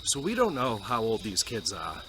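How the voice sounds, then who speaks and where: A man hosting a paranormal investigation, musing about ghosts and calling out loudly to spirits on a wooded road at night. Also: wildly swooping pitch, normal speed, poor audio. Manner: calls out loudly to spirits, otherwise low and musing. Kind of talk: casual narration while ghost hunting. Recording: night outdoor noise, crickets.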